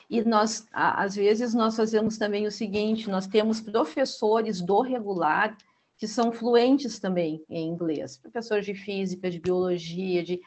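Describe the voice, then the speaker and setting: Woman, school rep, mid-pitched, chatty and filler-heavy. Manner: chatty, uses many fillers like né. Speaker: school representative. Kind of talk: conversational interview answers.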